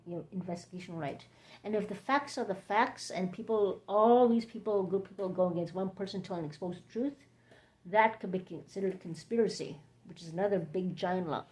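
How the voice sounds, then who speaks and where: A woman with a hoarse, scratchy throat, hosting a rambling late-night livestream chat from home, halting and drifting between topics. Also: on the low side, darkish tone. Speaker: livestream host.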